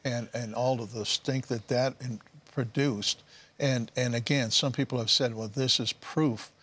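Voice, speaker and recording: A man's voice: medium pitch, fairly even intonation, measured pace, fairly bright tone, husky. A man from Canada explaining scripture off the cuff as a panelist on a religious talk show. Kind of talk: unscripted Bible teaching in a panel talk.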